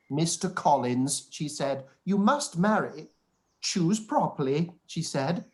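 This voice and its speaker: A man's voice: fairly high pitch, animated, takes it slowly. Pompous, long-winded young clergyman played by a man, self-satisfied and formal, for a period radio drama read. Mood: self-satisfied, earnest.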